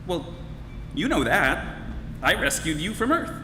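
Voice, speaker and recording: A man's voice: sits high, unhurried, exaggerated voice. A man playing a breezy, offhand alien hitchhiker in a live radio-style comedy play. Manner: offhand, animated. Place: live stage performance in a hall. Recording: echoey hall, noisy live ambience.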